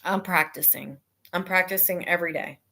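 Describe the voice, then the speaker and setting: A mother who hosts her own show, speaking earnestly in a naturally loud voice, with frequent pauses and fillers as she talks about her life and book. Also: lowish voice, vocal fry. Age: adult with children. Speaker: host.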